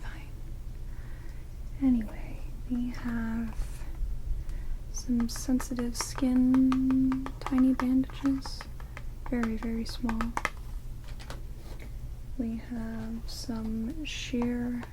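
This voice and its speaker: Whispering, sardonic vampire woman, played by a woman in rain hiss. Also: on the high side. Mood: dry, fussing. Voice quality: soft, breathy whisper. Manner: slow, teasing, drily condescending. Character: sardonic, haughty but friendly female vampire tending wounds. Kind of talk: whispered ASMR roleplay. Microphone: close binaural microphones. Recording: steady rain hiss, binaural microphones.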